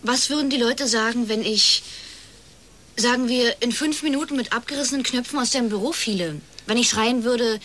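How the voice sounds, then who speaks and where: An actress playing a young, scheming woman who pries and flatters in a casual, teasing way, in a radio crime drama. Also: a little high, moderate pace. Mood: threatening. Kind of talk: naturalistic German radio crime drama acting.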